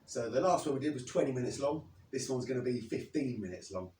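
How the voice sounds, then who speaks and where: A man in his thirties, slightly hoarse after losing his voice, explaining and demonstrating leg exercises step by step as a fitness coach. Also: medium pitch, expressive, fast, mellow. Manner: plain, step-by-step coaching while demonstrating moves. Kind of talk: instructional workout demo. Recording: echoey, from a distance.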